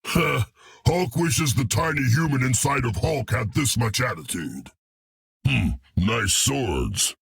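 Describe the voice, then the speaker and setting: A man voicing a hulking, cocky green brute in a video game, gruff and blunt, talking about himself in the third person with a gravelly, husky voice. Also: medium pitch, animated, slow pace, fairly bright tone, no echo, clean studio sound, exaggerated voice. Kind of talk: video game character dialogue.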